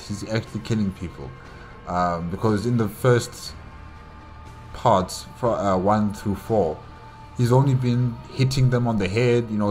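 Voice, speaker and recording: A man hosting a reaction video, giving a casual, rambling solo recap and repeating his phrases. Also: fairly low pitch.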